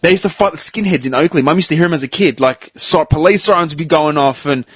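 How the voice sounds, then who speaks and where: A man's voice: a little high, fast talker, breathy edge, no echo. A man with an Australian accent chatting on a podcast, with crude joking asides. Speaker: podcast co-host. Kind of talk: casual podcast banter.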